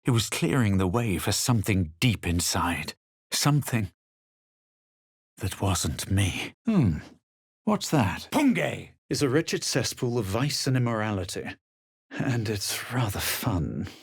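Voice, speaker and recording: A husky fantasy game hero, sure he is better than you. His lowish voice swings widely in pitch with measured, superior drama. The recording is close and dry. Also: big pitch swings, no room sound, full character voice. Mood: commanding incantation. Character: smug, self-assured adventurer hero of a fantasy game. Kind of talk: video game voice lines, narration and spell calls.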